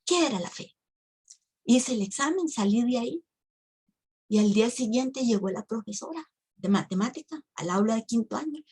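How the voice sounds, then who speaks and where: Brief Woman in her fifties, somewhat high and husky voice, moving testimony to her sisters in faith. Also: animated, moderate pace, pauses often, darkish tone. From Costa Rica. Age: 50s. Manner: emotional storytelling, addresses the audience as sisters. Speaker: speaker at a women's ministry. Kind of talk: religious testimony.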